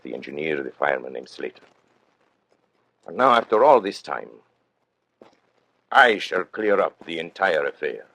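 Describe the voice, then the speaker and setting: An actor playing a suave, menacing condemned criminal agent in an old-time radio drama, theatrical, urbane and mock-polite in a deliberate delivery. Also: male voice, low voice, pushed and bright, heavily acted, rough recording. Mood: grave storytelling, then threatening.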